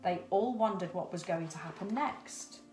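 A woman's voice: fairly low pitch, moderate pace, over music. Middle-aged woman reading a story, echoey room. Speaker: storybook reader. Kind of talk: expressive children's story reading. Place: indoors, small room with cupboards. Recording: roomy echo.

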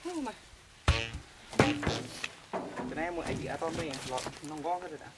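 Brief: young worker, fast offhand muttering, slightly high voice, loud outdoor noise. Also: male voice, sing-song. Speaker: log-loading worker. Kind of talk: casual work chatter. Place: outdoors on a rural dirt track. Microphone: camera some distance away.

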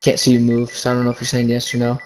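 A man's voice: mid-range voice, monotone, rapid-fire, a little mellow, a little hiss or hum, low-quality recording. A man gleefully exclaiming through casual gaming chat on a headset. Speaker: gamer.

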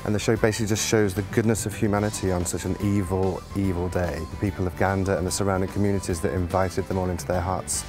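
A man in his forties, a musical director, calmly explaining the instruments of a show on a theatre stage. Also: low-pitched. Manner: calm, explanatory, unhurried. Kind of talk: guided tour to camera. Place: theatre stage set, indoors. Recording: music playing underneath.

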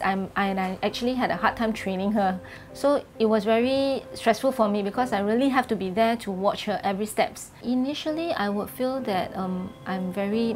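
A woman, speaking candidly as a caregiver in an interview at home. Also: medium pitch. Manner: candid, plain-spoken, with hesitations. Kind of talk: interview testimony. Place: home living room.

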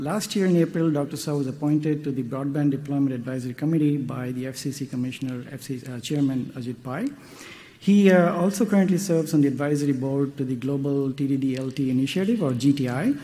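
Man with a mellow voice reading an introduction. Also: mid-range voice. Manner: measured, reads a list with small hesitations. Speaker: session chair introducing speaker. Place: conference stage at a podium. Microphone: podium microphone.

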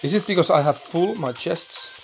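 A young man from Finland, speaking English with a Finnish accent as his second language, commenting excitedly and swearing while recording a mobile game. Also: fairly high pitch, moderate pace, vocal fry, a little hiss or hum. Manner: swearing, excitable, thinking aloud, broken English. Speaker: gaming channel host.

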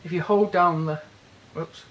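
A young British man casually walking through a phone's features in a bedroom, talking haltingly with many fillers and restarts. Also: on the high side, steady tone, fairly bright tone, low-quality recording. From Britain. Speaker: tech reviewer. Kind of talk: casual hands-on product walkthrough. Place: indoors, bedroom.

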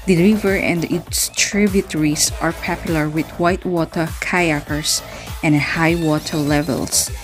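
A woman reading a narration in an even tone, with small slips. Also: sits low, a little slow, faint music behind. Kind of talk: read-out narration.

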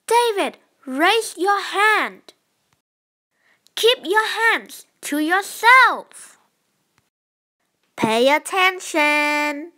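A woman's voice: very high voice, animated, extremely slow, long pauses, pushed and bright, voice pushed up, full character voice. A woman playing an exasperated, strict storybook schoolteacher who gives scolding commands.

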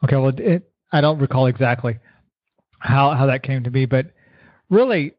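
A middle-aged man, a keynote speaker guest giving earnest motivational advice, repeating words and slowing down to stress his points. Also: medium pitch, no echo. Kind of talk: motivational interview answers. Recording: dull lo-fi radio sound.

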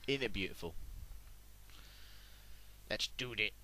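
A man's voice: fairly low pitch, takes it slowly. A man with a British accent giving casual let's play commentary as host of a gaming video. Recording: doubled game audio loud behind voice. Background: video game music and dialogue.

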